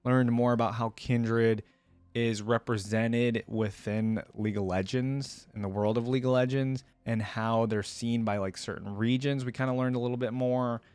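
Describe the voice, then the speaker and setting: A man's voice: mid-range voice, fairly even intonation, moderate pace, a little mellow, clean tone, dry recording. Casual man reacting in a dry close recording. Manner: conversational, thinking aloud while reading. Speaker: reaction video host. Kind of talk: casual reaction commentary, reading aloud and remarking.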